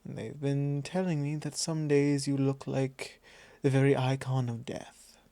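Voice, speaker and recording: A suave vampire lord, acted out by a man in an intimate ASMR roleplay, speaks with mellow, gravelly courtliness. He is possessive and softly commanding, teasing as he goes, and sounds very close and dry. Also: medium pitch, flat delivery, unhurried, no room sound. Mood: gently chiding.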